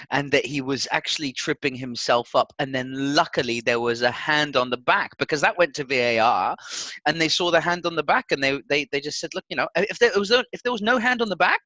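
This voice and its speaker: A man's voice: fairly high pitch, sharp tone, no echo. A man co-hosting a football podcast in casual, agreeable chat, often repeating his words and phrases.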